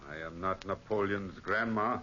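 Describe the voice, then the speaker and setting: A man playing a curt, gruff, threatening tavern bartender in a 1940s radio drama. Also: low-pitched, normal speed, pushed and bright. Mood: surly. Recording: muffled, noisy 1940s radio recording.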